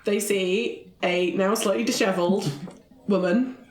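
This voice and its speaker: A woman's voice: mid-range voice, fairly even intonation, unhurried. A woman playing a tabletop roleplaying game, describing her character's actions in casual improvised talk. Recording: roomy echo.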